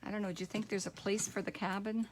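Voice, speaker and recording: A middle-aged woman with a croaky voice, demonstrating card making at home and thinking aloud in a relaxed, rambling way. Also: medium pitch, fast, slight background noise, low-quality recording. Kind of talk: casual craft tutorial.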